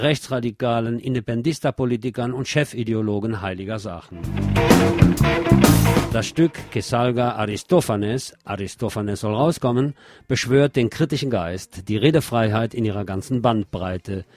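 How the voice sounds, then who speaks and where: A man's voice: mid-range voice, normal speed, darkish tone, no room sound. A man hosting a radio magazine, reading scripted commentary with wry, opinionated asides and emphatic delivery. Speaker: radio show host. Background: music under the voice.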